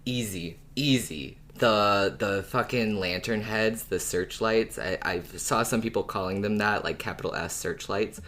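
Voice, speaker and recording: A man's voice: fairly low pitch, a little slow, hardly any pauses, a bit bright, slight background noise. A man co-hosting a podcast, talking in casual, conversational fan chat. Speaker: podcast co-host.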